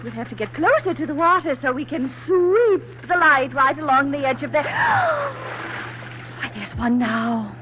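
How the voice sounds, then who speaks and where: A high, swooping, fluttery rich lady who dithers and gives bossy orders, repeating herself as she goes. It is old radio acting on a muffled vintage recording with music behind. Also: huge pitch swings, unhurried, slight background noise, heavily acted. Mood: nervous, bossy. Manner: fluttery, repetitive, dithering and exclaiming. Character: flighty, scatterbrained, bossy wealthy society lady. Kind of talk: 1940s radio drama acting.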